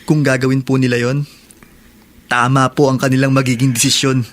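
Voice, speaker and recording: A man's voice: mid-range voice, flat delivery, a little fast, lightly acted. An actor with a Filipino accent playing a remorseful young male prisoner, earnest and respectful, in a radio drama.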